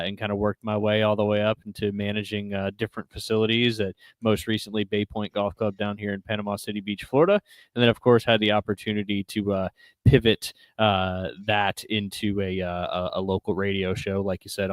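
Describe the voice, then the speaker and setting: A man's voice: fairly low pitch, no room sound. A man who co-hosts a golf podcast and hosts local sports radio, talking in an easygoing way with lots of fillers. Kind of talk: casual podcast chat.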